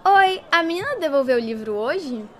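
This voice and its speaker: A woman's voice: high-pitched, lively intonation, normal speed, a faint crowd. A woman playing an eager, wistful little schoolgirl who asks childlike questions in a radio drama, with a Brazilian accent. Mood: hopeful.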